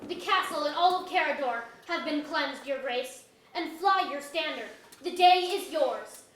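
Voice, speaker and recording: A woman plays a dutiful victorious officer with a high voice, formal in archaic stage verse. The sound is very echoey and far from the microphone. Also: unhurried, fairly bright tone. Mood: formal, triumphant. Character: dutiful victorious military officer reporting to the sovereign. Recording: very echoey auditorium, distant mic.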